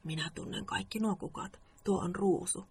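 A woman voices an earnest, pompous moomin father from a bedtime storybook. The voice is creaky, grave and full of self-importance. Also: medium pitch, darkish tone. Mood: approving.

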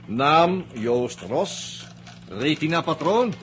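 A man's voice: a little high, sing-song, extremely slow, a bit bright, full character voice. An actor playing a crafty, jovial male technician on a test crew in a 1960s radio drama, chatty and coaxing. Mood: reciting briskly. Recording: noisy 1960s radio recording.

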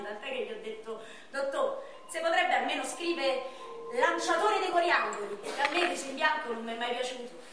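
Woman doing a skinny young roman guy, really high voice, cracking self-mocking jokes in a theatre monologue, recorded far off. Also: natural voice. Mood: teasing, bantering. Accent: Roman Italian. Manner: declamatory, repetitive joke-telling. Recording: echoey stage recording from far off, noisy.

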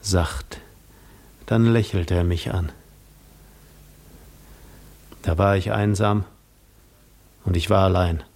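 Weary, lonely Greek wanderer, lowish voice, measured literary storytelling for a 1950s radio play. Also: male voice, darkish tone, full character voice. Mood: brooding. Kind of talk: 1950s German radio drama narration.

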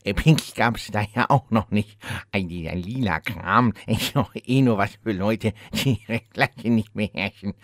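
An actor playing a rambling, cackling old undead warlock who boasts about the hard old days, in a comedy audio play. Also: male voice, on the low side, unhurried, few pauses, fairly bright tone, no room sound, studio quality. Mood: grumbling nostalgic.

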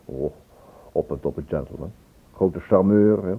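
An actor playing a chatty, wry police inspector in a radio drama, on a muffled, tinny old recording. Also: male voice, low voice, a little slow, gravelly, slightly rough, heavily acted. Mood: gossipy, amused. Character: chatty male police inspector at Scotland Yard, gossipy, wry.

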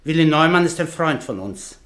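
A man's voice: a little high, lively intonation, moderate pace, fairly bright tone. A curt, menacing henchman. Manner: curt, threatening. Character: blunt, menacing male henchman named Leo. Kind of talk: radio play acting.

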